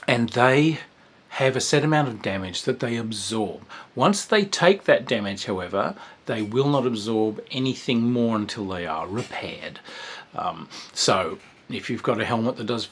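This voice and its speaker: A man's voice: on the low side, animated, unhurried, breathy edge. A man giving a casual spoken review of a tabletop game, chatty and meandering, with frequent ums and restarts.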